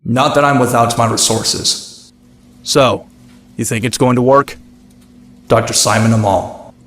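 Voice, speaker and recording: A man plays a young outlaw vigilante in a motion comic, defiant and wry. His mid-pitched voice is sardonic and self-deprecating, ringing in heavy echo. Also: fairly even intonation, measured pace, a bit bright, lightly acted. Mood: brooding, wry. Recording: echoey, long reverb.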